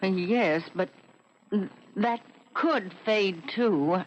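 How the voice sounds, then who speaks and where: A gracious, intense American housewife in a vintage radio play. She speaks in a medium pitch, lingering on words with an eerie fixation. The sound is dull, with faint noise. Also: drawn-out words. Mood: uneasy. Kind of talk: old-time radio drama acting.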